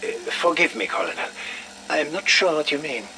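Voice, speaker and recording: An actor playing a guarded, formal doctor with a cryptic, ominous manner, in a video game audio log. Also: male voice, a little high, expressive, brisk, vocal fry, noisy background. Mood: evasive.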